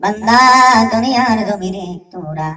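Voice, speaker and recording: A woman's voice: mid-range voice, expressive, normal speed. A woman preacher telling a religious story as a sermon, dramatically and with repeated phrases for emphasis. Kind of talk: sermon storytelling with acted dialogue. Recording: echoey, distant mic, music behind.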